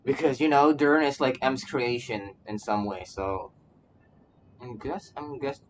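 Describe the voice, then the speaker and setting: A man's voice: mid-range voice, unhurried, slight background noise. A man streaming a game with casual live commentary, rambling and stammering as he repeats his phrases. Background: game music.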